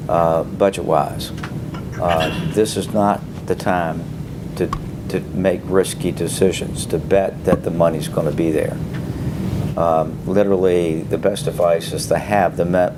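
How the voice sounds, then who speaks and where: A man with a very low voice walks a city council through a budget in a steady, explanatory way, pausing often. The room's audio carries a clear hiss of background noise. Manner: measured, explanatory, with frequent fillers. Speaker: city official presenting budget. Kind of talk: council work session briefing. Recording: noisy council chamber audio.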